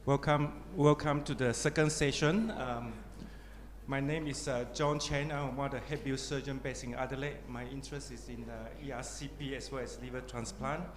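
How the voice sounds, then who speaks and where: A man's voice: mid-range voice, steady tone, moderate pace. A man, a surgeon, introducing speakers as chair of a medical conference session, with frequent hesitations and fillers. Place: conference hall. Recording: echoey hall with noisy ambience.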